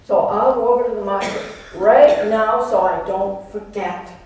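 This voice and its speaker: A woman's voice: mid-range voice, a little slow, darkish tone, natural voice. A woman playing an elderly activist, forgetful and polite but firm, in a readers theater piece. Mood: busy, resolute. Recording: very echoey room, distant mic.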